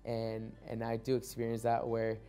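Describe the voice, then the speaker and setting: Mellow-voiced young man from Mexico. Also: mid-range voice, completely flat delivery, moderate pace. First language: Spanish. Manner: reflective, repeats words as he restarts. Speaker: soccer player. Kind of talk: documentary interview.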